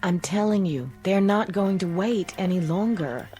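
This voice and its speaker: Actress as worried woman survivor, very mellow tone, medium pitch, insistent, radio-play drama. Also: lively intonation, a little slow, soft background music, close to an everyday voice. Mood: urgent, pleading.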